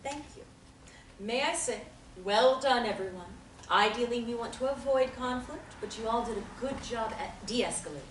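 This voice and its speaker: A woman reads a calm female group therapist. She speaks in a measured, gently encouraging way and keeps the group steady. The recording sounds echoey, with the mic set well back in the room. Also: fairly high pitch, recorded from a distance, slight background noise, lightly acted. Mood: reassuring. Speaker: reader of stage directions and the therapist. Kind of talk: table reading of a stage play.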